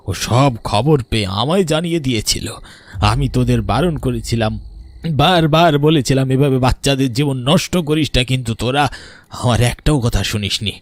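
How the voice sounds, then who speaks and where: A man's voice: medium pitch, close to an everyday voice. A man voicing the vengeful ghost of a murdered village teacher, menacing and bitter in a cartoon. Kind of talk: cartoon voice acting.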